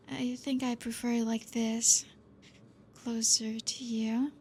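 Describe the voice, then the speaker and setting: A woman playing a gentle, teasing, possessive vampire teacher in an intimate ASMR roleplay, speaking softly and breathily in a coaxing way. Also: on the high side, slight background noise. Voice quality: soft, breathy.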